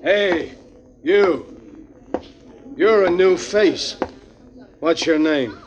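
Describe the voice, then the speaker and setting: A man's voice: high voice, extremely slow, noisy background. An actor playing a brusque male police lieutenant who barks orders and interrogates a suspect in a 1940s radio drama. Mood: commanding. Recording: dull 1940s radio recording.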